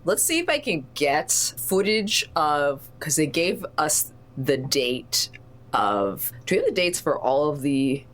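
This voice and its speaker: Female tabletop player, low-pitched voice, casual and animated, thinks out loud while improvising her character's moves. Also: slow. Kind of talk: casual improvised roleplay chat.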